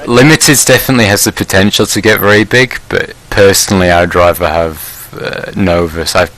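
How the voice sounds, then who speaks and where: A man with a very low voice and a bright, forceful edge to it. He chats casually on a podcast and rambles through his points with plenty of fillers and restarts. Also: fairly even intonation, a little fast, rough recording. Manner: laughs at his own blunt jokes. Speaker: leader of a Prussian group in an online game.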